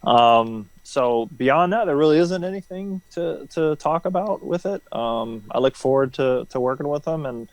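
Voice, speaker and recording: A man's voice: on the high side, animated, normal speed, few pauses, dry recording. A man talking casually as an interview guest, explaining things informally and often checking in with "right?". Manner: informal, explanatory, frequent "you know" and "right?". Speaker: interview guest, former military helicopter pilot.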